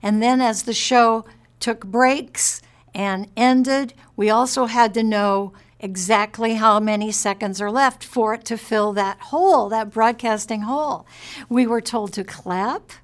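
A woman's voice: on the high side, a little slow. A woman speaking conversationally in an interview, looking back as a radio producer.

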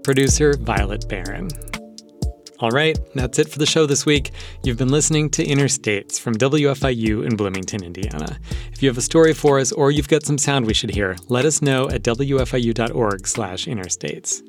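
A man's voice: mid-range voice, expressive, normal speed. Male public radio host over music. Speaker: radio show and podcast host. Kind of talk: public radio hosting, conversational scripted narration.

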